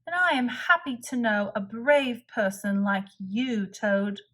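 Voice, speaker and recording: Woman as an earnest, slow-spoken storybook frog. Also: fairly high pitch, a bit bright. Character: friendly male frog, eager to prove bravery.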